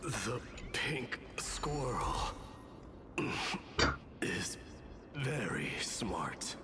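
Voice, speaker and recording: An actor playing a solemn, stoic warrior in a video game, deadpan and halting in his speech. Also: medium pitch, very noisy. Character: solemn, stoic male warrior, sparing with words. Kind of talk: video game character voice acting.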